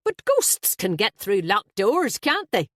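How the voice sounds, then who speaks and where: A woman's voice: medium pitch, sing-song, normal speed, dry recording, voice pushed up. A woman narrator plays a wry woman friend in a novel. She teases playfully and sounds sceptical. The recording is dry and intimate. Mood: teasing.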